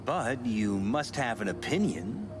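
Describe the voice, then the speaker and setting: Animated, earnest politician. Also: male voice, mid-range voice, unhurried. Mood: earnest, expectant. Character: earnest, courteous male politician client. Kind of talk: cinematic video game acting. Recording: music behind the dialogue.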